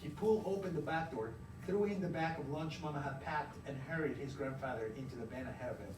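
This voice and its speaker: A male teacher with a fairly high voice, heard from across an echoey classroom. He reads a storybook to children with expressive emphasis and puts questions to them encouragingly. Clear background noise surrounds his voice. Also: quick pace, distant mic. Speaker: elementary teacher reading a storybook aloud to a class. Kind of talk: interactive read-aloud, storytelling and questioning children. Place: indoor classroom. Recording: roomy, noisy classroom, recorded from afar.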